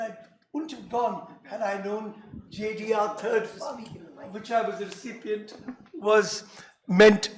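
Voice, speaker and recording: A man speaking on a panel, animated and laughing as he reminisces. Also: sits high, vocal fry, reverberant, low-quality recording.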